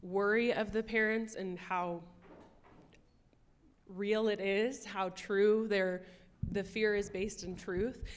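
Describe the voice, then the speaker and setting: A woman's voice: medium pitch, fairly even intonation, slow pace. A woman hosting a post-screening Q&A, speaking in an appreciative way with some fillers. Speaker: interviewer, programming director.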